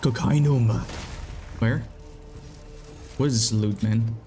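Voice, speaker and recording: A young man with a Czech accent talks loosely at his desk mic while he plays, reading out viewers' messages and cursing now and then. His voice sits at a middle pitch, and faint game music plays behind him. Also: takes it slowly. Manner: laid-back, swears, reads chat aloud. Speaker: game streamer. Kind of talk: casual live-stream chat.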